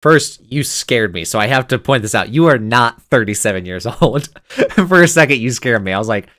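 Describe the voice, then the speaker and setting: A man in his twenties co-hosting a podcast, chatting casually in an excitable, rambling way and laughing easily. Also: medium pitch, moderate pace, no echo, clean studio sound. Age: 20s. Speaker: podcast co-host. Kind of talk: casual chat.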